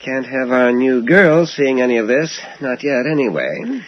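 A man's voice: mid-range voice, big pitch swings, unhurried. A man playing a suave, conspiratorial, scheming dentist in a 1980s radio drama. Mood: suave, secretive. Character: smooth-talking, scheming male dentist boss. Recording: dull, noisy old radio recording.

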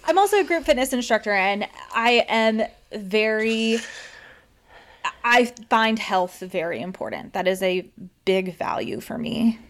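A woman's voice: fairly high pitch, expressive, unhurried, a bit bright. A woman co-hosting a podcast, talking in a chatty, upbeat way and laughing often. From United States. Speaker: podcast co-host. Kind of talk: casual podcast chat.

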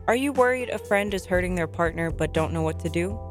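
A woman's voice: lowish voice, expressive, fairly quick. Woman reading a helpline spot over music. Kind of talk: public service announcement.

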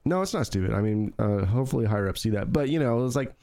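A man's voice: lowish voice, animated, fast talker, darkish tone. A man co-hosting a casual podcast, rambling and searching for words with many fillers. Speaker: podcast co-host. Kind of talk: casual chat.

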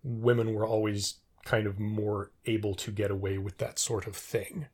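A middle-aged man in a casual trivia discussion, rambling and thinking out loud as he talks. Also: on the low side, little pitch movement, moderate pace, husky. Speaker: podcast co-host.

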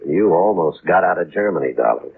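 A low, gravel-throated American tough guy in an old radio crime drama. He is cynical and menacingly casual, swinging in pitch through terse slang. The sound is narrow, aged broadcast audio. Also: animated, a little fast, heavy background noise. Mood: cold menace. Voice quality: gravelly, raspy. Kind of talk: 1950s radio crime acting. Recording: muffled, narrow-band vintage 1950s radio.

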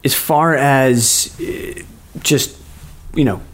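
A man's voice: mid-range voice, drawn-out words. A man hosting a podcast from his garage studio, chatting casually with many fillers and frequent laughs. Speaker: podcast host. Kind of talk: casual interview chat.

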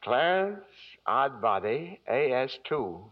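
An actor playing a kindly, naive, very old guardian angel in a quaint, genteel, fussy manner, in 1940s radio style. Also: male voice, medium pitch, sing-song, takes it slowly, slightly rough, full character voice. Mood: gentle, cheerful. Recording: thin, narrow-band 1940s radio recording.

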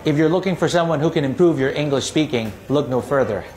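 Crisp-speaking man, Cantonese native. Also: a little high, fast talker, darkish tone, soft background music. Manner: clear, crisp, carefully enunciated. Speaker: English teacher. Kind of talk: polished voice-over clip.

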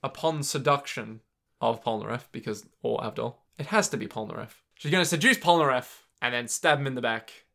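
A man's voice: mid-range voice, a little fast, gravelly, bright, forceful tone. A man, co-hosting a podcast in casual chat, thinking aloud with playful, rambling guesses and lots of fillers. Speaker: podcast co-host.

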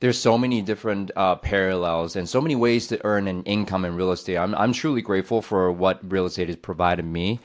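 A man's voice: sits low, a little fast, hardly any pauses. A man hosting a podcast interview, effusive and hesitant, with many fillers. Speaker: podcast host.

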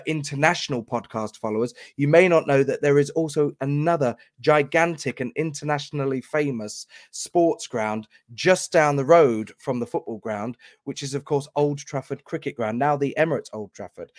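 A man's voice: a little high, moderate pace, hardly any pauses, no room sound, clean studio sound. A man hosting a podcast interview, explaining things at length in a conversational way. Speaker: podcast host.

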